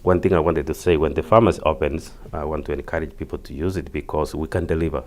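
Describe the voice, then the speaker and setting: Very deep-voiced Kenyan man. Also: steady tone, a little mellow. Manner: halting, repeats words. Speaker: interview guest, community health project worker. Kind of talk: interview answers, explaining.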